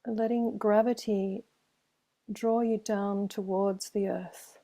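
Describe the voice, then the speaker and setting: A woman meditation teacher leading a guided meditation in a gentle, soothing way, with long pauses between phrases. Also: medium pitch, steady tone.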